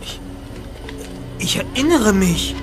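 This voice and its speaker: An actor voicing a clever teenage detective who hesitates and then takes charge, in a radio play. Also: male voice, high voice, big pitch swings, takes it slowly, full character voice. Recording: music under the dialogue.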